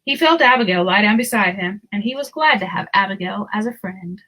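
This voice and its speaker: A woman narrating a children's storybook aloud in an expressive, deliberate storytelling manner. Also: mid-range voice, low-quality recording.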